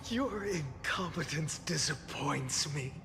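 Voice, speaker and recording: An actor playing a weary, defiant fallen hero in a video game, solemn and grandiose with bitter scorn. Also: male voice, on the high side, expressive, takes it slowly, creaky, background noise, full character voice. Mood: scornful, weary. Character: weary, defiant male fallen hero burdened by countless lifetimes. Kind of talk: dramatic scripted video game acting. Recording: loud music behind the voice. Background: game soundtrack music.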